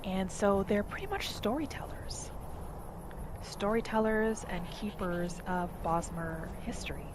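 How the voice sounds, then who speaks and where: A woman gently narrating a relaxing game roleplay in a soft, soothing manner. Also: medium pitch, unhurried, background noise. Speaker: gameplay narrator. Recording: voice over loud game music.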